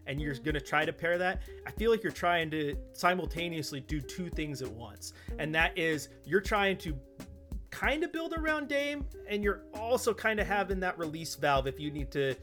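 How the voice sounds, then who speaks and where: A man's voice: a little high, very animated, normal speed, fairly bright tone, soft background music. A man chatting casually about basketball as a podcast co-host, offering opinions and rhetorical questions. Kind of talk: opinionated casual sports chat.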